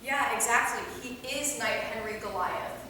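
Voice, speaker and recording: A woman's voice: on the high side, normal speed, bright, forceful tone. Woman playing sassy young vampire, very echoey. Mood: scornful, sassy. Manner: slangy, sassy, exclamatory. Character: brash, excitable young female vampire, sarcastic and silly. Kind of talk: staged reading of a comic play. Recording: echoey hall from far off, noisy.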